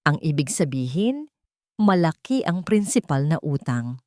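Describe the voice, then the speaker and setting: Filipino woman doing a serious lawyer, grave and measured, story narration, really dry audio. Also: sits low, big pitch swings, darkish tone, no room sound. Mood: grave, explaining. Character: serious, informed attorney giving grave news.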